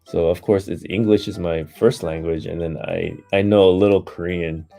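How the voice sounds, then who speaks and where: Sleepy, very deep-voiced man. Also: fairly even intonation, normal speed, creaky, soft background music. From United States. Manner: soft-spoken, hesitant, many fillers. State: sleepy, low energy. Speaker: livestream host. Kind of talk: casual livestream chat reading comments.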